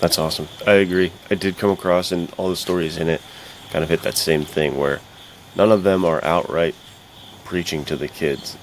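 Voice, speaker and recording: A man's voice: deep voice, fairly even intonation, moderate pace. A man chatting casually as a podcast co-host in an earnest, reflective way. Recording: faint background noise.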